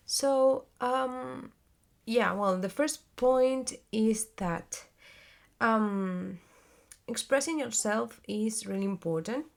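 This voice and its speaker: A woman in her twenties with a Spanish accent, a theater actress talking to camera in a casual vlog, often pausing with fillers and restarting as she searches for words. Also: a little high, creaky. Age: 20s.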